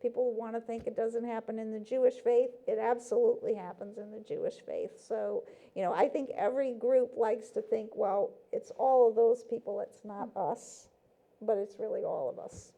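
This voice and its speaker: A woman's voice: on the high side, moderate pace, vocal fry, warm tone, rough recording. A woman speaking as a talk show guest from a nonprofit, explaining things earnestly, with frequent "um"s and "you know"s. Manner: earnest, explaining, with many fillers. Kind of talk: casual interview chat.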